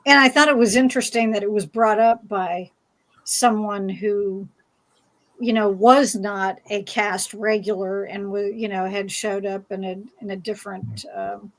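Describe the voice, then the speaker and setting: A woman moderating a fan panel discussion, putting questions to the panelists in a halting, rambling, conversational way. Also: mid-range voice, steady tone.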